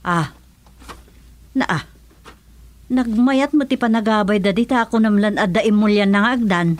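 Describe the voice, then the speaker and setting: A woman's voice: mid-range voice, normal speed, no room sound, close to an everyday voice. A woman playing a curious neighbour in a radio drama, questioning and exclaiming as she talks.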